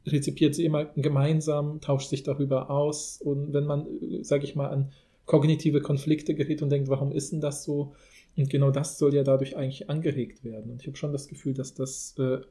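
A man's voice: a little high, level tone, fairly quick, dark tone. A man hosting a casual analytical podcast discussion, thinking aloud in long, winding sentences. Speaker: podcast host.

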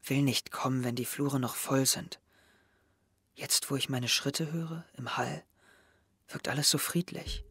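A man's voice: medium pitch, unhurried, pauses often, fairly bright tone, breathy edge, no room sound. A young man playing an anxious, withdrawn, self-doubting teenage schoolboy in an audio drama. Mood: hushed, melancholy. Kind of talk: audio drama dialogue and inner monologue.

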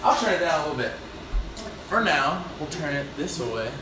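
A man's voice: on the high side, lively intonation, normal speed, heavy background noise. A young man chatting casually as he hosts a home cooking video, laid-back and rambling, with jokey asides. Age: young adult. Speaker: cooking show host, home chef. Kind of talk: casual chat while cooking. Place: home apartment kitchen. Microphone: phone on counter, a few steps away. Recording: roomy, echoey phone recording from far off.

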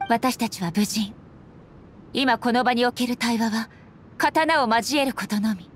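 A woman playing a solemn, resolute warrior goddess in a Japanese anime game, speaking formally in a grave, measured manner. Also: a little high, a little hiss or hum, lightly acted. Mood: stern, grave. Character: solemn, resolute warrior goddess and her stern puppet shogun.

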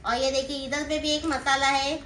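A woman's voice: high-pitched, little pitch movement, normal speed, pushed and bright. A middle-aged woman with a Mumbai accent chatting casually as a vlogger, explaining things and checking in with little questions. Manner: explanatory, tags phrases with questions. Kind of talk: casual grocery haul chat. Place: small room indoors, seated on floor. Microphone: phone held by someone nearby. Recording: roomy echo, faint background noise.

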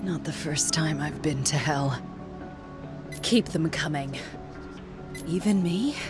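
Slow, low-voiced stoic female guard. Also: over music. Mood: steady, dutiful. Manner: formal, restrained, solemn delivery. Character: stoic, devoted young female royal guard warrior. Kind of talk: scripted video game character voice lines.

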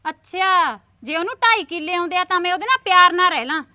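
A woman playing a shrill, fed-up village wife. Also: sharp tone, no echo, lo-fi sound, heavily acted. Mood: scolding. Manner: scolding, biting, quick retorts. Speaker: actor in a family quarrel skit.

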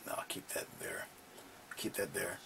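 A man's voice: moderate pace, a little hiss or hum. A middle-aged man teaching painting in a small home studio, talking casually as he works and often dropping to a murmur. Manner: relaxed, talks while he paints, drops to low murmurs over the brush work. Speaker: painting instructor.

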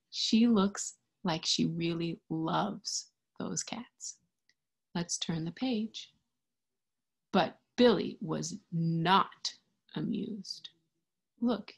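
A young woman reads a storybook to little children at a very slow, careful pace. Her voice is medium in pitch with a breathy, husky edge. She speaks in an expressive teacher's manner, breaking the story up with simple explanations and questions. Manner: expressive, deliberate, child-directed teacher talk. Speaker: picture book reader for children. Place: indoors in front of a green screen.

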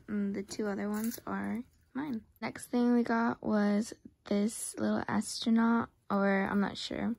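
A woman's voice: mid-range voice, gravelly, a little mellow, no room sound. A young woman vlogging casually, chatting upbeat with lots of filler words, filmed on a phone held at arm's length. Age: young adult. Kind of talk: casual vlog chat. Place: outdoor flea market, later indoors at home.

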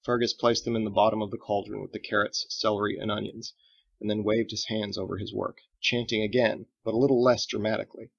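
A man reading his own fiction aloud as expressive, scripted audiobook narration. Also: on the low side, fast talker, creaky, dull audio.

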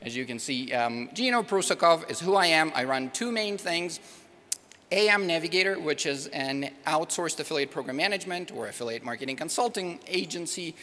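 A middle-aged man from Moldova with a Russian accent, a native Russian speaker, giving an animated, jokey conference presentation at a podium mic in a large ballroom. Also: fairly high pitch, fairly quick. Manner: animated, jokey, rising loud on rhetorical questions. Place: large hotel ballroom with audience. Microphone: podium mic, filmed by far camera. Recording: echoey ballroom with long reverb.

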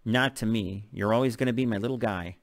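A man's voice: lowish voice, fairly quick, no echo, studio quality, natural voice. A man reading the part of a teasing, affectionate single mother in a novel. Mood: wistful.

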